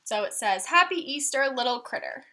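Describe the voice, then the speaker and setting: A woman's voice: high-pitched, expressive, moderate pace, sharp tone, slight background noise. A woman reading a picture book aloud to children, adding simple explanations and questions for the listeners like a teacher. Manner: instructive, asking listeners questions. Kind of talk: storybook read-aloud with explanatory asides.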